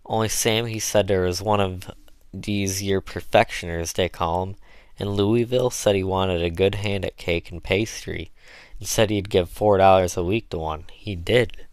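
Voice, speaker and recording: A man's voice: low-pitched, steady tone, normal speed, clear, resonant tone, no room sound. A man voicing an eager, good-humored woman, an enslaved cook, in folksy dialect for an audiobook. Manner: folksy dialect with mispronounced words. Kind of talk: audiobook character voice.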